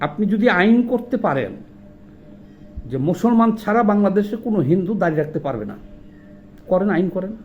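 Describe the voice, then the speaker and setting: A man's voice: high voice, lively intonation, a little fast, pauses often, muffled recording, soft background music. A man with a Bangladeshi accent giving informal video commentary, indignant and argumentative, posing rhetorical questions in colloquial speech. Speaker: social commentator.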